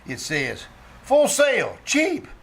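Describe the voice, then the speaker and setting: A man's voice: extremely high pitch, wildly swooping pitch, takes it slowly, vocal fry, a bit bright, breathy edge, exaggerated voice. A man playing a brash, jovial bandleader husband in a breezy, chuckling 1940s radio sitcom style. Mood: amused. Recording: slightly noisy, distant live stage.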